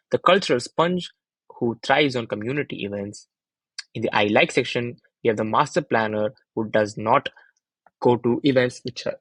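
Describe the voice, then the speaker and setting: A man's voice: medium pitch, husky, no room sound, rough recording. A young adult man reading a scripted research presentation as a student narrator, in an orderly, explanatory manner.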